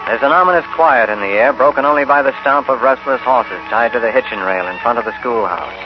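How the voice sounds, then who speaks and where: A man's voice: mid-range voice, brisk. Male announcer, 1950s radio western narration, dramatic, emphatic, music behind, muffled vintage recording.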